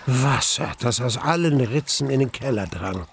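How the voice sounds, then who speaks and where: Casting: grave, creaky-voiced narrator of a dark radio drama, deliberate and suspenseful, faint ambience behind. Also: male voice, mid-range voice, expressive, a little hiss or hum. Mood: tense, awed. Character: grave male narrator recalling his mortal terror in a cellar. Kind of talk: dramatic first-person radio play narration.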